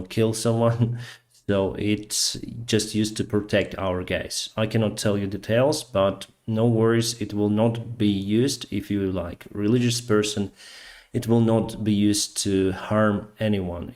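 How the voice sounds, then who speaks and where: Slow, low-voiced Ukrainian man. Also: fairly even intonation, a little mellow. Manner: hesitant, searching for English words, many fillers. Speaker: live stream host. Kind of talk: casual live stream commentary.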